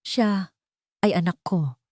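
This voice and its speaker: A woman's voice: lowish voice, takes it slowly, darkish tone, no echo, studio quality. Woman voicing a pleading, remorseful father in a romance. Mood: resolute, emotional. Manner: pleading, emotional. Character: earnest, remorseful man, a CEO and father. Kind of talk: audio romance storytelling.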